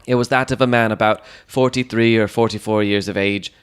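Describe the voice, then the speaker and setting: A man narrates a Victorian mystery audiobook in a fairly low voice. His delivery is scripted and dramatic, with measured, descriptive storytelling. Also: steady tone, smooth.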